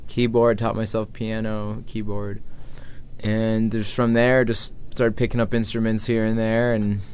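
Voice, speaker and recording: Male interview guest, early thirties, American, low pitch, loose rambling conversational delivery, faint background noise. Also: flat delivery, a little slow, darkish tone. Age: early 30s. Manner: informal, meandering, thinking aloud. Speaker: interview guest, traveling musician and activist.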